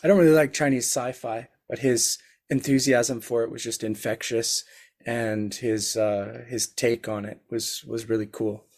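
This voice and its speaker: Mid-pitched man, hesitant and thoughtful. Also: steady tone, unhurried, darkish tone. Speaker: podcast guest. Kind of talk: casual chat.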